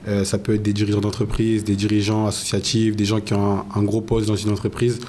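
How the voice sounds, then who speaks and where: Podcast host guy, lowish warm voice, kinda hesitant, lots of euh, little bit of hiss behind. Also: completely flat delivery, a little fast. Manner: casual, hesitant, many fillers. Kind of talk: podcast interview.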